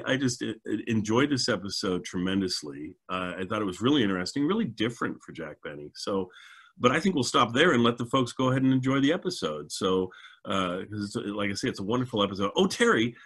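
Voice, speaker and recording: Low, husky man chatting enthusiastically. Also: very animated, a little fast, a bit bright. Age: middle-aged. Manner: enthusiastic, effusive. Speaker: show host. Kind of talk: casual chat on a video call. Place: indoors at home, video call.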